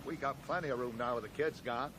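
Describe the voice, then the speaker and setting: An actor playing a kindly, middle-aged American small-town sheriff in a radio drama, speaking in a folksy, hesitant way. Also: male voice, medium pitch, quick pace, close to an everyday voice. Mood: genial, hospitable. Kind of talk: 1980s radio drama acting. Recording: noisy 1980s radio drama recording.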